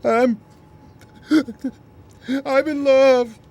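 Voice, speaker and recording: A woman playing a lovestruck ship captain facing retirement in an audio comedy drama, with a melodramatic, deliberate delivery. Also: high-pitched, steady tone, a little mellow, full character voice. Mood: lovestruck, gushing.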